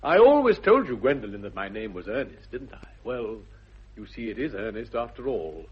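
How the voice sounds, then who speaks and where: An actor playing an earnest, excitable upper-class English gentleman in a radio comedy, theatrical and formal in his phrasing. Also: medium pitch, normal speed, husky. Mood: feverish excitement. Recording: dull old radio recording.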